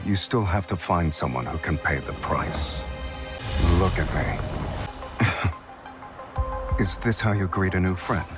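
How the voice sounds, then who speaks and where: An actor playing a sinister, mocking villain with a menacing, theatrical delivery. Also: male voice, low-pitched, expressive, a little slow, pauses often. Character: sinister taunting male villain posing as a kindred spirit. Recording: loud music under the voice.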